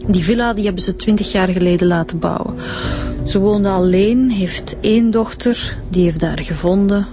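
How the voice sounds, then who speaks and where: An actress playing a brisk Flemish police inspector who reports facts plainly, in a radio drama. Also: female voice, mid-range voice, over music, close to an everyday voice.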